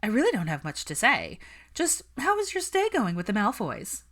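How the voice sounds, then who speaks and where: A woman's voice: medium pitch, huge pitch swings, normal speed, fairly bright tone, voice pushed up. A woman voicing a brash, teasing young witch who pries at her friend in a smug, dramatic way, in a story reading. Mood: teasing, probing.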